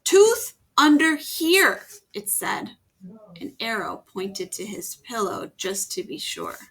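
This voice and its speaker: A woman reading a children's picture book aloud, gently pausing to ask her young listeners questions. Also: medium pitch, creaky, breathy edge, rough recording. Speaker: storytime reader.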